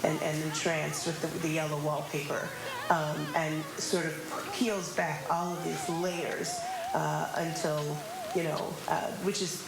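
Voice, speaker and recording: A woman explaining informally in an interview, reflective and hesitant, with halting phrasing. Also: sits low, little pitch movement, gravelly. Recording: roomy echo under loud music.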